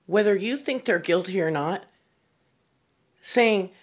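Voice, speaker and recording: A woman's voice: medium pitch, expressive, slow pace. A woman giving blunt, disapproving opinion commentary to her viewers as the host of a true crime video.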